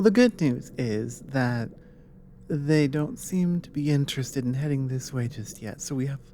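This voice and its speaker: A woman playing an earnest, resolute female dragon ally in an ASMR roleplay, speaking in halting, intimate phrases with pauses. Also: extremely low pitch, mellow, slight background noise. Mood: urgent, practical.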